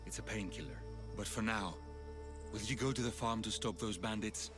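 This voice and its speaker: An actor playing a gentle, anguished young Greek healer in a video game, earnest, hesitant and pleading. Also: male voice, lowish voice, moderate pace, over music, close to an everyday voice. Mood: grave, earnest. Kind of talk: video game cutscene acting.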